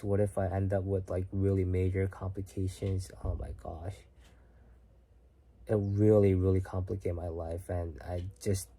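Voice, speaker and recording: A soft-spoken young man from Korea chats to his livestream late at night. His voice sits a little low with a mellow, warm tone. He muses aloud and now and then sinks to a near whisper. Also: husky. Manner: soft-spoken, musing, sometimes drops to a whisper. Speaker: livestream host reading chat. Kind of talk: casual late-night chat. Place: home at night.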